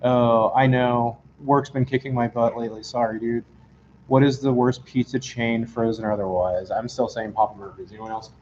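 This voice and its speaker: A man chats loosely with a group and strings his thoughts together with "like". His tone is mellow and dark. The room is echoey, the sound is a little muffled, and faint noise sits underneath. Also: mid-range voice, steady tone, moderate pace. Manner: rambling, heavy on "like". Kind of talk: casual group chat.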